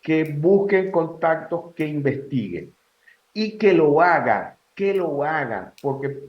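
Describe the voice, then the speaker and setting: A man's voice: on the high side, very animated, lo-fi sound. Venezuelan man in his sixties, echoey. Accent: Venezuelan Spanish. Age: 60s. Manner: measured, advising, uses "este" fillers. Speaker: guest. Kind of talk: casual interview answers.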